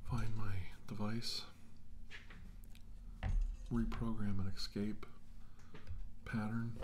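Casting: male actor as brooding, shaken middle-aged doctor for sci-fi ASMR drama; very slow, soft, mellow delivery slipping into whispers. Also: fairly low pitch, a little hiss or hum. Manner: halting, brooding, often dropping to a whisper. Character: weary, shaken male doctor recounting a battle with aliens. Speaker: performer of ASMR roleplay.

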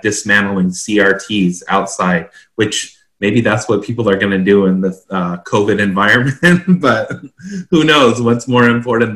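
A middle-aged man with a fairly low voice talks through technical requirements in an informal way. He sounds like he is on a computer mic in a room with noticeable echo. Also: fairly bright tone. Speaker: standards consultant and co-host. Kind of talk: informal explanatory commentary. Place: home office, indoors, on a video call. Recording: roomy, echoing video-call audio.

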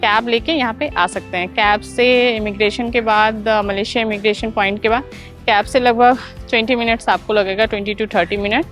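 A woman in her thirties giving a chatty travel vlog tour with a lapel mic, pausing with "uh" as she explains things to viewers. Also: a little high, fairly even intonation, fairly bright tone, soft background music. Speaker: travel vlogger. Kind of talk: casual travel vlog narration. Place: outdoor theme park. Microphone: clip-on lapel mic.

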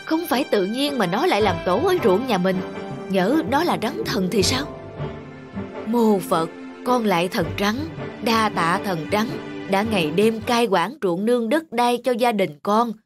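A woman's voice: mid-range voice, lively intonation, a little slow, music in the background, close to an everyday voice. A woman voicing a poor, pious, superstitious male farmer in a children's cartoon, with a fretful, reverent manner. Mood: reverent, pleading.

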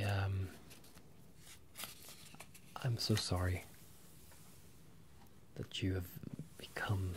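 Young guy whispering slow asmr as a wizard professor, soft and breathy, halting, up close to the mic. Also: lowish voice, a little hiss or hum. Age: 20s. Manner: hesitant, halting, in-character delivery as a wizard professor. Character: bemused, apologetic wizard professor addressing a student. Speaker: ASMR roleplay performer. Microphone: close stereo microphones, ear to ear.

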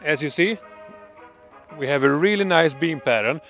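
A middle-aged Swedish man with a Swedish accent, presenting lights outdoors at night in a halting, enthusiastic salesman's way, with many fillers. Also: fairly high pitch, a bit bright, soft background music. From Sweden. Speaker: product presenter. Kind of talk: product demonstration vlog. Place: dark countryside road at night, outdoors.

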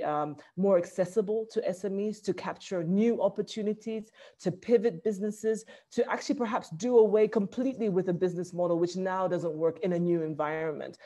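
A woman's voice: lowish voice, dark tone. A middle-aged woman with a Zambian accent giving conversational panel remarks over a video call from a small office. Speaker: small business owner, webinar panelist. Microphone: headset on a video call.

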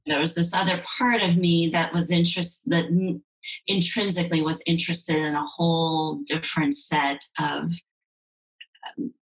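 A woman who grew up in Ukraine speaking Russian, her voice sitting a little low. She talks in a measured, thoughtful way, often restarting her phrases. She is heard over a video call from a room at home. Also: steady tone, rough recording. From Ukraine, then Soviet Union. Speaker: guest, sex and intimacy coach. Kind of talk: candid interview.

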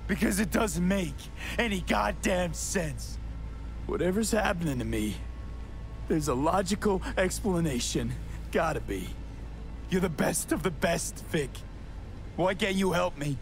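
An actor playing a defiant, desperate young street mercenary in a video game, brash, sweary and emotionally raw. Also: male voice, high voice, expressive, measured pace, pauses often. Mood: angry, desperate. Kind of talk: cinematic video game dialogue. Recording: music behind the voice.

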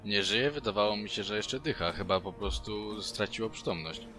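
A man with a deep voice talks through his moves as a player in a tabletop role-playing game. Music plays clearly behind him. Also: normal speed. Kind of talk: in-game conversation.

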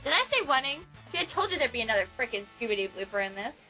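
A woman voicing a brash, excitable teenage schoolgirl in anime fan dub outtakes, exclaiming in exasperation. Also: fairly high pitch, rapid-fire, faint music behind, exaggerated voice, rough recording. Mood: exasperated.

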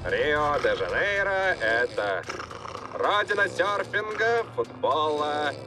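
Pompous, sing-song tour guide. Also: male voice, a little high, very animated, takes it slowly. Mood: grandiose. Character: long-winded male tour guide, grandiose and oblivious. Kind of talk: cartoon dub, scripted tour patter. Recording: background music over dialogue.

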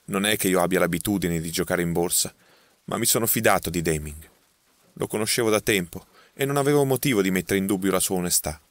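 A man's voice: low-pitched, fairly quick, dry recording. A man voicing a frightened young man accused of murder, his words tumbling out in agitation. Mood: desperate. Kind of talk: audiobook character voicing.

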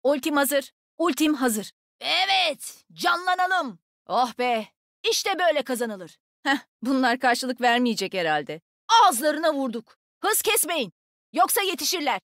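A confident young woman fighter from a shooter game. Her voice is high and swings in lively leaps, teasing and full of energy. The sound is dry and close, with no room around it. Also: measured pace, no echo, heavily acted. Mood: confident, eager. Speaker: video game voice actor. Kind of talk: game character voice lines, short combat callouts.

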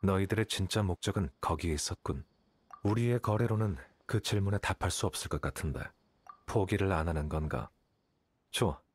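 An actor playing an aloof, calculating young scholar in a video game, speaking in a detached, measured, condescending way. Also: male voice, sits low, breathy edge. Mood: cool, bargaining. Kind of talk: video game character dialogue. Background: game music.

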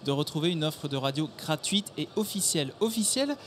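A man's voice: on the high side, expressive, fairly quick. A man in his thirties with a French accent, giving articulate explanations as an executive guest in a promotional interview. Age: 30s. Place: exhibition studio stand at a motor show. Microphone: handheld microphone. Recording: clear background ambience noise.

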